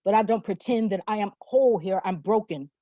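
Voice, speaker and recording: A woman's voice: mid-range voice, a little fast, no room sound, dull audio. A woman performing spoken word poetry with rhythmic, rhyming phrasing and an emphatic, declarative manner. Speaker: poet.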